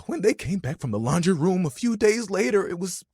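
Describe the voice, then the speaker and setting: A man's voice: high-pitched, very animated, brisk, darkish tone, husky, no echo, studio quality, heavily acted. A man voicing a friendly, vain, dramatic living towel in a video game, chatty and playful with an easily wounded manner. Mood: dramatic, grieving. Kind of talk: animated, conversational game character acting.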